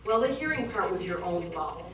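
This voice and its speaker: A woman's voice: lowish voice, expressive, a little fast, natural voice. A woman playing a defensive wife who reasons with and chides her husband in an amateur stage play. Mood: chiding. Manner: reasoning, mildly chiding, defensive. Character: defensive, placating wife, a wedding guest. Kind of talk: amateur one-act stage play acting. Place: indoor theatre studio, heard from afar. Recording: roomy distant stage recording, clear noise.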